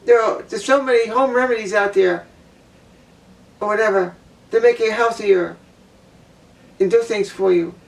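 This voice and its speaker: A woman with a low voice giving a blunt opinion monologue, repeating her points for emphasis. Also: moderate pace, creaky, pauses often, recorded from a distance, rough recording. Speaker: vlogger.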